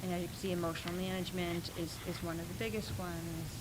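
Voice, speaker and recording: A soft-spoken woman, a school principal, explaining schedules and budget requests at a meeting, with frequent pauses and ums. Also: on the low side, level tone, heavy background noise. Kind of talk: presenting at a school board meeting.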